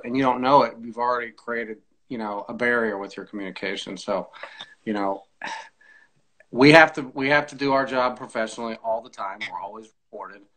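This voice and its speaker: A man in his forties speaking as an interview guest in a measured, explanatory way, giving practical advice on a video call. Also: on the low side, a bit bright, low-quality recording. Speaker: air traffic controller, podcast guest. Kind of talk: conversational interview, explaining tips. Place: small indoor room, video call.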